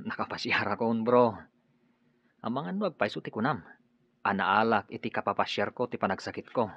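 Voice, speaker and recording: A man's voice: fairly low pitch, normal speed, no room sound, lo-fi sound. An Ilocano-accented man playing a friendly, joking buddy in a radio drama. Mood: chummy. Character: friendly, joking male buddy and business colleague.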